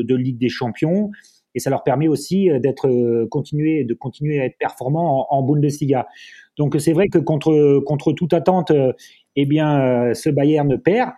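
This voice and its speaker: Dark-voiced German-native man, halting speech. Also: mid-range voice. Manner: halting, restarts and repeats words. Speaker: football pundit guest. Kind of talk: casual podcast discussion.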